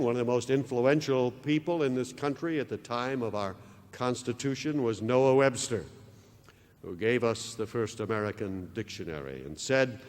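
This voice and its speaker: A man preaching a televised sermon with impassioned, dramatic swings from loud declarations down to hushed lines. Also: mid-range voice, big-room sound. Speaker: pastor.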